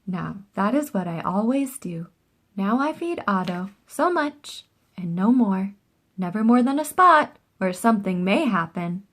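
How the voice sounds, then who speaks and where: A woman reading a children's book aloud in an expressive, animated storytelling style with short asides. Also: medium pitch, unhurried. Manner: animated, acts out dialogue.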